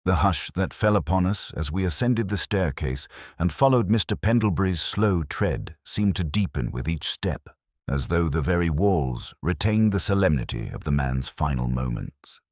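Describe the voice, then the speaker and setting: A man's voice: sits low, lively intonation, normal speed, a little mellow, no echo, clean studio sound. A man reading a Victorian detective story as scripted dramatic narration. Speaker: audiobook narrator.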